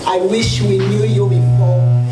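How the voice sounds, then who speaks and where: A woman's voice: medium pitch, expressive, measured pace. A woman gives impromptu remarks to a gathering, often repeating and restarting her phrases. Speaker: speaker at community gathering. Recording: echoey hall, distant mic, loud music.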